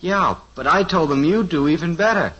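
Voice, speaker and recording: A man's voice: on the high side, lively intonation, moderate pace, a bit bright, husky. An actor playing an eager, scheming teenage son in a 1950s radio sitcom, earnest and breezy, fumbling his words. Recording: dull lo-fi old radio transcription.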